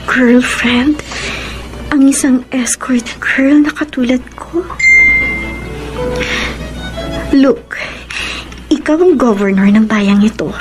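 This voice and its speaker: A high, unhurried female voice over a music bed: a modest young Filipino escort in a radio drama. She is tender but guarded, hesitating and slipping into hushed phrases. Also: poor audio. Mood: hesitant, self-doubting. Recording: music under the voice.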